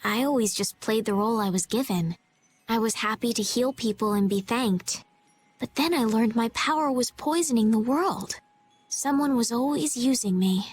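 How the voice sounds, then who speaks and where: A woman voicing a gentle, thoughtful young female healer in a video game, speaking softly, hesitantly and sincerely. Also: on the high side, normal speed, a little hiss or hum, natural voice. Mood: reflective, sincere. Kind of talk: video game dub acting.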